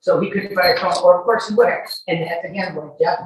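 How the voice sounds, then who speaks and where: Defensive woman testifying in an echoey courtroom. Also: fairly low pitch. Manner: defensive, argumentative, interrupting, halting. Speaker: witness testifying. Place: courtroom hearing. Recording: echoey courtroom, distant, noisy microphone.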